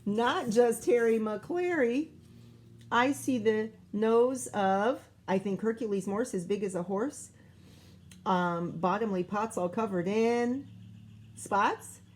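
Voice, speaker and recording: A woman teacher reading a picture book aloud to young children in an expressive, sing-song way, with coaxing asides and questions. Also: mid-range voice, takes it slowly. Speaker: primary school teacher reading aloud to pupils.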